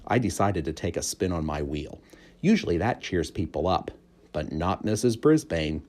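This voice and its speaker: Expressive lowish-voiced male storyteller. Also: normal speed. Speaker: storybook reader. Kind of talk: expressive children's audiobook narration.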